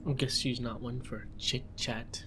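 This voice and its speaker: A man's voice: mid-range voice, steady tone, unhurried, sharp tone, faint music behind. A man narrating a story in a conversational, informal way with wry asides.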